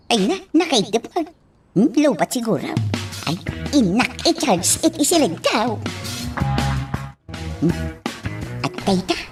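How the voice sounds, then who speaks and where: An actress playing a flustered, scheming household helper in a radio drama, muttering to herself and stammering with exclamations. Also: female voice, fairly high pitch, far from the mic. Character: flustered, scheming female household helper sneaking phone calls.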